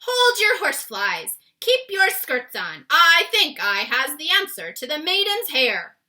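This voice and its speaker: Storybook giant, played by a woman: excitable, friendly, very forceful, garbles words in folksy grammar. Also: high-pitched, unhurried, voice pushed up, heavily acted. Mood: excited. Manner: exclaims in mangled, folksy grammar.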